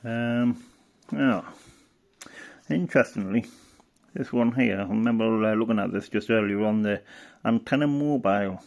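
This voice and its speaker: Male hobbyist presenter, lowish pitch with heavy vocal fry, off-the-cuff and halting delivery. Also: darkish tone. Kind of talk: casual unscripted commentary while browsing a catalogue.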